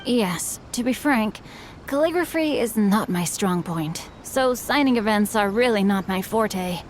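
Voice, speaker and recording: A woman's voice: mid-range voice, lively intonation, unhurried, a little hiss or hum, natural voice. A woman voicing a polite young male swordsman and author who speaks in a formal, earnest way. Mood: sheepish.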